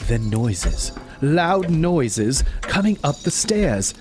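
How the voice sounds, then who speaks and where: A man narrates a scripted story in a dramatic style. His slightly high voice rises and falls very widely, over clearly audible music. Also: very animated, extremely slow.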